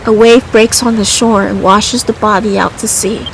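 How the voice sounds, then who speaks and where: A woman's voice: mid-range voice, fairly even intonation, normal speed, slight background noise, poor audio. A woman casually commentating on her video game playthrough and reading game text aloud. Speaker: gamer playing and reading aloud.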